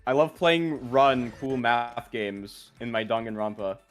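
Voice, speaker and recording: A man's voice: mid-range voice, a little slow, soft background music. A young man streaming a video game, chatting in a casual, slangy, sweary way. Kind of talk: casual game-stream chat with read-aloud.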